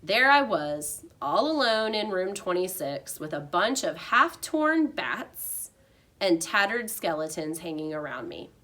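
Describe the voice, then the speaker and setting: A woman reading a children's storybook aloud like a teacher, with expressive narration. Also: on the low side, measured pace.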